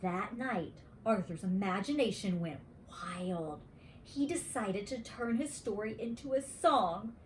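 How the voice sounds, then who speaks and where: A woman reading a bedtime story aloud to young children in an expressive, deliberate teacher's manner. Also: medium pitch, a little hiss or hum.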